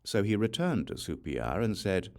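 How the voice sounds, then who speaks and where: Deep, creaky-voiced male audiobook reader. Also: very animated, moderate pace, darkish tone. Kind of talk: scripted narration of a religious text.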